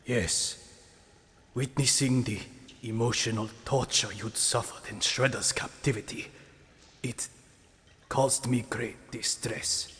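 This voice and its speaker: A man playing an aged rat sensei and stern, loving father in an audio drama, speaking formally and gravely. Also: medium pitch, fairly even intonation, slow pace, pauses often, a bit bright. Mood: grave, sorrowful. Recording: long reverberant hall echo.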